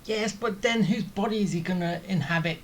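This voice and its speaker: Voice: mid-range voice, a little fast, slight background noise. A gaming streamer giving casual, joking playthrough commentary with muttered asides to the game.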